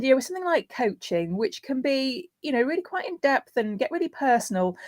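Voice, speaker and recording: A woman with a mid-pitched voice talks as a guest expert in voiceover. She explains practical tips in a conversational way, using everyday examples. The sound is dry, with hardly any room around it. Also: a little mellow, no echo. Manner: illustrates points with everyday examples and imagined callers.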